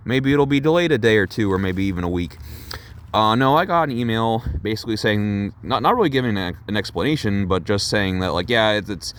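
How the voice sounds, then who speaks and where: Excitable young man ranting over an unboxing. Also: on the low side, a little fast, a little hiss or hum. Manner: excitable, rambling, swears, laughs at himself. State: losing his voice. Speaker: gaming YouTuber doing an unboxing. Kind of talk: casual unboxing rant to chat.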